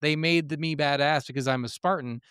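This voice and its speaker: A man's voice: on the high side, fairly even intonation, a little fast, a bit bright, clear, resonant tone, dry recording, clean studio sound. A man co-hosting a casual gaming podcast, talking bluntly with plenty of swearing and complaint. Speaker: podcast co-host.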